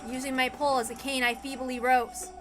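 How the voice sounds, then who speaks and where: A woman with a fairly high voice reads a dramatic story aloud. Her narration is expressive and deliberate, with a theatrical touch. Music plays clearly behind her. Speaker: reader of a visual novel. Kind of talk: dramatic scripted narration with acted dialogue. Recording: background music under the reading.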